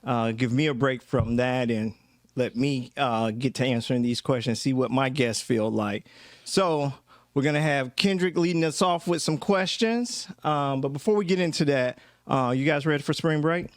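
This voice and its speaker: Man, 30s, podcast host, easygoing and reflective, mid-pitched, dry close mic. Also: moderate pace, no room sound. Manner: relaxed, reflective, talks with fillers and laughs. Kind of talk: casual family podcast chat. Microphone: studio microphone.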